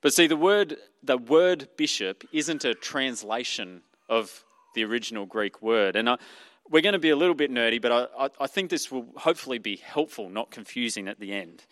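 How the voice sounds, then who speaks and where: Australian male pastor, earnest teacher, stumbles and restarts, mid pitch, long hall reverb. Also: expressive, normal speed, a bit bright. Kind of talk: sermon.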